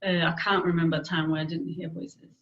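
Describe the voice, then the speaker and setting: A woman from Denmark with a Danish accent, answering interview questions in a thoughtful way with frequent pauses and fillers. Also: deep voice, level tone, a bit bright, highs cut off. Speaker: guest, peer expert on hearing voices.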